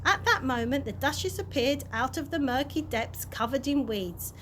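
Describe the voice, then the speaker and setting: A woman narrating a children's chapter book aloud in an expressive storytime style. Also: high-pitched, moderate pace. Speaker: early years practitioner reading a story. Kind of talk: animated children's audiobook narration. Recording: clear background noise.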